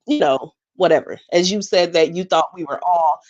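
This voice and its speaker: A woman's voice: lowish voice, a little mellow, highs cut off. A woman, born in Jamaica and raised in the United States, speaking as she makes a long, earnest point in a live online panel discussion. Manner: earnest, measured, speaks at length. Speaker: panel participant.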